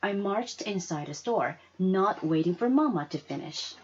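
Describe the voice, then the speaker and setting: A woman reading a children's story aloud as an expressive narrator. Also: lowish voice, normal speed, highs cut off, a little hiss or hum. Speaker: storybook reader.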